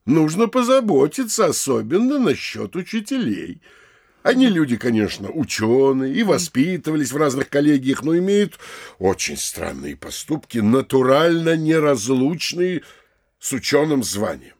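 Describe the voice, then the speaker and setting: Gravelly pompous small-town mayor. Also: male voice, fairly high pitch, very animated, darkish tone. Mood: officious, lecturing. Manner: officious, lecturing, theatrically emphatic with pauses. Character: pompous, anxious small-town mayor, middle-aged man. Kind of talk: classic comedy audio drama acting.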